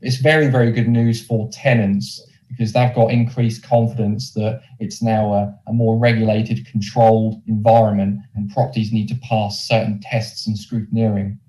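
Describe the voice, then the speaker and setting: A man's voice: fairly low pitch, level tone, normal speed, hardly any pauses, mellow, reverberant, poor audio. A man with a Norfolk English accent giving an explanatory webinar presentation as a presenter. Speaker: presenter, mortgage lender representative.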